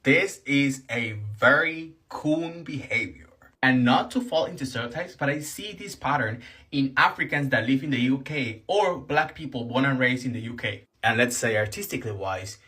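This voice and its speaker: A man's voice: medium pitch, lively intonation, normal speed, sharp tone. A man from Colombia giving indignant, emphatic commentary in a reaction video. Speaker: online commentator.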